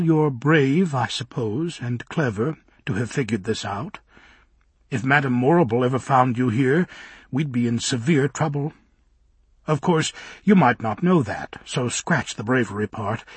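A man's voice: mid-range voice, slightly rough, no room sound. A man voicing a prim, affected young society woman who speaks in genteel phrasing. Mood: flustered.

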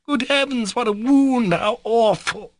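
Man as a high, fluttery, melodramatic mother. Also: lively intonation, normal speed, fairly bright tone, no room sound. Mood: horrified. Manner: fluttery, plaintive, exclamatory. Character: fussy, excitable, melodramatic middle-class mother. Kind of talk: dramatised audiobook reading.

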